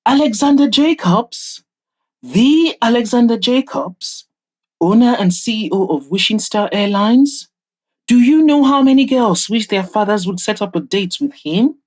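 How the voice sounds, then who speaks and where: A woman voicing an excitable, frank young woman who teases her friend in an audio drama, animated and emphatic. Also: on the high side, measured pace, pitched above the performer's own voice. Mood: starstruck. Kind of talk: audio drama character dialogue.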